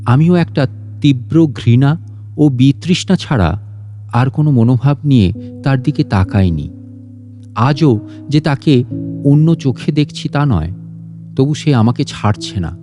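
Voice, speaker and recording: A man's voice: medium pitch, dark tone. A man reads a literary story as a scripted audio narration. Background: guitar and instrumental music.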